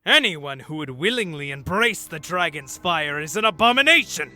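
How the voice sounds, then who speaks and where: Man playing a bright-voiced earnest Viking. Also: fairly high pitch, sing-song, normal speed, heavily acted. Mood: earnest, determined. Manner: earnest, solemn, literal-minded. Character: earnest, vengeful Viking dragon hunter. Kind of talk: fan-dub game character acting. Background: music.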